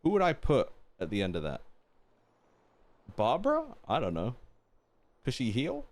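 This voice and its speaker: A man's voice: mid-range voice, wildly swooping pitch, normal speed. A man chatting casually as he streams a game, thinking aloud and putting questions to his viewers. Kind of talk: casual live-stream chat while playing a game. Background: game music.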